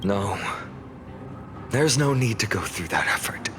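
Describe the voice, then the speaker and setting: A man's voice: on the low side, lively intonation, measured pace, background noise. A man voicing an earnest, resolute young hero in a video game, speaking formally and with stern conviction. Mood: stern, determined. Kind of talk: dramatic video game acting.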